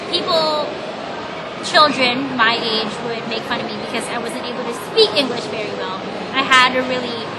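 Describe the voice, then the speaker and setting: A young woman from the Philippines, Tagalog her first language, answering oral history questions earnestly with many ums and restarts. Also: sits high. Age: young adult. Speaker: interviewee. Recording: loud background noise.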